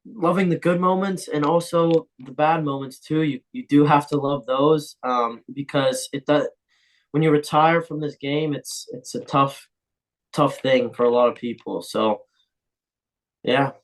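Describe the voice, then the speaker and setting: A young man, a professional footballer, answering interview questions in a relaxed, casual way with frequent ums. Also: on the high side, unhurried, poor audio.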